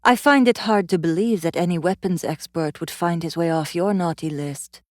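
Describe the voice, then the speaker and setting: Audiobook female companion: male actor as skeptical, questioning woman, lifted pitch, dry wit. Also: normal speed, dry recording, lightly acted.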